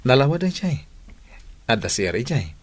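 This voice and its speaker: A man's voice: mid-range voice, very animated, moderate pace, no echo. A man playing a generous, easygoing husband in a Filipino audio drama, speaking reassuringly.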